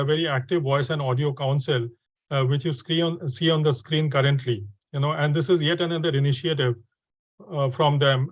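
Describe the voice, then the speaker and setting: A man's voice: on the high side, no echo, poor audio. A man hosting a webinar, speaking hesitantly with many fillers and restarts. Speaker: webinar host.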